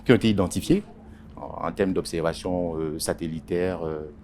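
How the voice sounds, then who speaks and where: A man, a journalist, answering interview questions in a halting way with many pauses and repeated words. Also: sits low, a little mellow, a little hiss or hum. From Congo Basin. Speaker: journalist and editorial writer.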